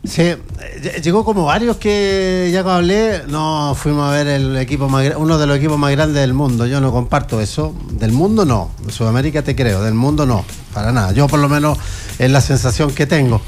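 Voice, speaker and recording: A man's voice: a little high, normal speed, a little mellow, slight background noise. A man with a Chilean accent hosting a live football broadcast, chatting conversationally and stating his opinions plainly. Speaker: sports radio host.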